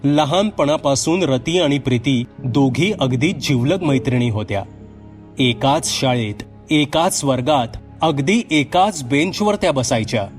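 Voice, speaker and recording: A man's voice: mid-range voice, lively intonation, measured pace, faint music behind. A man narrating a scripted horror story as a storyteller, in a dramatic, suspenseful manner.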